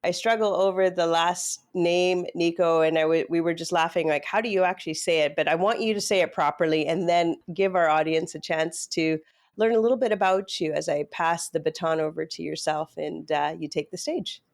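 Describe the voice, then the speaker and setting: A woman's voice: lowish voice, steady tone, fairly quick. A woman hosting a business podcast, giving friendly, rambling introductions. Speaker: podcast host.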